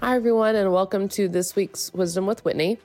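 A woman's voice: mid-range voice, a little fast, a little mellow, soft background music. A woman hosting a short advice segment for color guard educators, congested from a cold and explaining her methods step by step.

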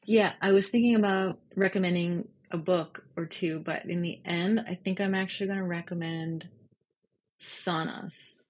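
A woman with a slightly husky voice, speaking thoughtfully and informally as an interview guest. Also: on the low side, steady tone, normal speed. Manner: thoughtful, informal, explains step by step. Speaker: podcast guest, freelance journalist. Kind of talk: reflective interview conversation.

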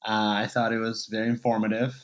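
A man's voice: lowish voice, completely flat delivery, quick pace. A young man guest on a podcast, talking easily and hedging with you know and like. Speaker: interview guest. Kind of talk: casual interview chat.

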